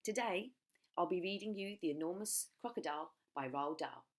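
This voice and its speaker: A woman reading a children's storybook aloud in an expressive narrating style, like a primary school storytime. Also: lowish voice, moderate pace.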